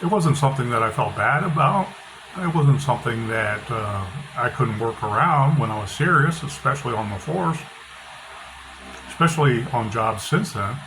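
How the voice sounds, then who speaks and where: A middle-aged author talking casually with a loud, bass-heavy voice, blunt and opinionated, often repeating himself. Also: male voice, expressive, moderate pace. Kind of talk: casual monologue. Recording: noisy background hiss.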